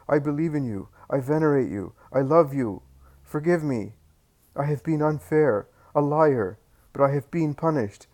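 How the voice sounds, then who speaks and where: A man's voice: fairly high pitch, darkish tone, voice pushed up. A desperate, pleading old grandfather, as a man reads him. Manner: pleading, crying out.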